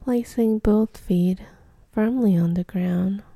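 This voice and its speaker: A woman leading a guided meditation in a gentle, soothing, breathy near-whisper with long pauses. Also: medium pitch, dry recording.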